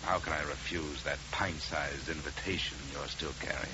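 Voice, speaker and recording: An actor playing a wry, weary, hard-boiled insurance investigator in a 1950 radio detective drama, dry and sardonic. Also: male voice, very deep voice, fairly even intonation, normal speed, background noise. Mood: sardonic, unimpressed. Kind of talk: 1950 radio detective dialogue and first-person narration. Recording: dull, band-limited old radio recording.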